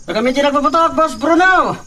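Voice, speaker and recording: A man's voice: extremely high pitch, expressive, a little fast, bright, forceful tone, heavily acted. A man with an Ilocano accent playing a fawning henchman in a radio drama, recorded at a distance. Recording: distant mic.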